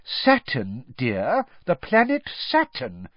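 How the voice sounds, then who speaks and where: A man's voice: mid-range voice, huge pitch swings, dry recording, voice pushed up, full character voice. A man doing a dreamy, mystical old seer. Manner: airy, drawn-out mystical delivery, turns sharp when cross. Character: dreamy, theatrical older woman teacher, mystical. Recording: dry, slightly dull studio recording.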